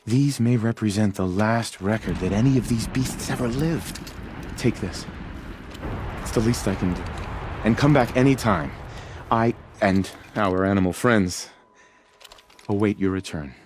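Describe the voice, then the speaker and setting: Man acting an earnest, low-voiced amateur naturalist, noisy background. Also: close to an everyday voice. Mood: grateful. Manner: wonderstruck, halting, self-important musing with sighs. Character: earnest, eager self-taught male naturalist in a post-apocalyptic tribe. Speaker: non-player character in a video game. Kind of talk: video game dialogue acting.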